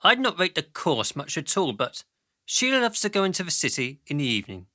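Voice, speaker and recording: An actor playing a brash, crude pharmaceutical boss with a breezy, domineering manner in a radio drama. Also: male voice, fairly high pitch, very animated, a little fast, bright, forceful tone, no room sound, clean studio sound, exaggerated voice.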